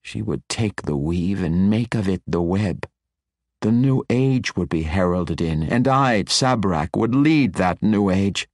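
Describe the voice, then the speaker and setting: A man voicing a despondent male dark elf wizard who speaks slowly and mournfully. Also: low voice, animated, dry recording, clean studio sound, exaggerated voice. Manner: slow, mournful, hushed.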